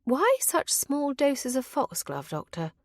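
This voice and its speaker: A woman voicing an astute, caring, composed young gentlewoman in an audiobook, formal and gently firm. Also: sits high, big pitch swings, slow pace, no room sound, studio quality, voice pushed up, heavily acted. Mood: puzzled. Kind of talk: audiobook character voice.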